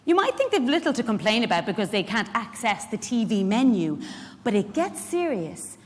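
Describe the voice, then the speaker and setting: A woman's voice: fairly high pitch, big pitch swings, moderate pace. A woman with an Irish accent performing a live dramatic monologue as a feisty, protective care worker, in a conversational, wry manner. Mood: indignant, pleading. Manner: conversational, wry, rhetorical questions to the audience. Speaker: actor in a dramatic reading. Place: large indoor event hall. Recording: echoey hall, live stage reading.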